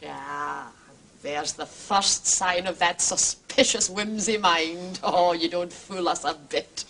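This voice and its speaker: A woman's voice: fairly low pitch, slow, fairly bright tone, exaggerated voice, rough recording. An actress playing an arch, gossipy lady artist in a radio drama, theatrically mock-indignant and teasing. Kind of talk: British radio drama acting.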